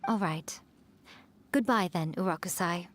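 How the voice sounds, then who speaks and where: A woman's voice: fairly low pitch, unhurried, close to an everyday voice. A woman voicing a smug, poised, centuries-old fox spirit in a video game, teasing and composed. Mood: fond, poised. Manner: teasing, self-satisfied, composed. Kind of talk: video game character acting.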